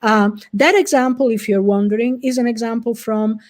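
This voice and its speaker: A woman's voice: fairly high pitch, fairly even intonation, darkish tone. Woman giving an academic talk. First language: Italian. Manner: measured and explanatory, with frequent fillers and restarts. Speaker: researcher presenting a session. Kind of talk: recorded conference presentation.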